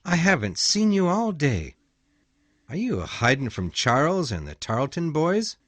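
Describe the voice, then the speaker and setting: A man acting a gentle, conflicted young gentleman in a storybook reading, speaking softly and with restraint, turning harsh when he refuses. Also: fairly high pitch, huge pitch swings, normal speed, dry recording, full character voice. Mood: friendly.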